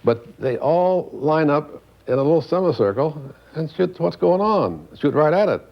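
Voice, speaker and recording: A man's voice: a little high, big pitch swings, moderate pace, creaky, lo-fi sound. An older man casually explaining in a documentary interview, stretching out phrases for emphasis.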